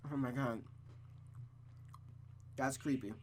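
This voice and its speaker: A man's voice: mid-range voice, fairly even intonation, slow pace, darkish tone, a little hiss or hum. A man streaming a visual novel, narrating it aloud like a storybook and adding casual asides.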